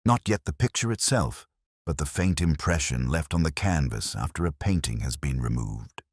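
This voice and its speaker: Very deep, brooding detective played by a man. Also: lively intonation, husky, no room sound, clean studio sound, full character voice. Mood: brooding, reflective. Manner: measured, literary, brooding. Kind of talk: scripted first-person mystery narration.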